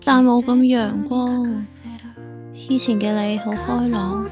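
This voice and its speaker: An actress playing a sweet, gentle young woman in love in an audio drama, tender and girlish, with a Hong Kong Cantonese accent. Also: a little high, steady tone, slow, music in the background. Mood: wistful.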